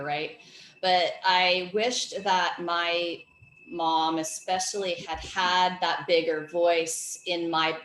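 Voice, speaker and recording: A woman host with a lowish voice leads a casual conversation and praises her guests effusively. The room sounds echoey, with faint noise underneath. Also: a bit bright. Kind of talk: casual podcast chat.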